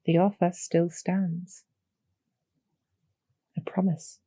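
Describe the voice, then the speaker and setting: A woman voicing a calm, knowing succubus demoness in an intimate audio roleplay, speaking in a measured, softly persuasive way with a low, breathy, gravelly voice. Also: no room sound, clean studio sound. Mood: solemn, coaxing. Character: calm, knowing demoness succubus archer, former hero, loyal to her queen.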